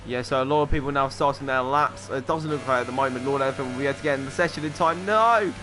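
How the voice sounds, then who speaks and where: A man commentating a sim racing qualifying session, calling laps quickly and excitedly, with offhand asides. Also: mid-range voice, music in the background. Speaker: race commentator.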